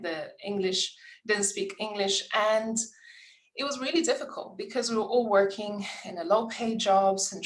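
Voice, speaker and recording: A woman's voice: medium pitch, rough recording. Earnest woman hosting. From Lithuania. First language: Lithuanian. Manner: earnest and encouraging, occasional restarts. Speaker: summit host. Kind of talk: inspirational welcome speech with personal story.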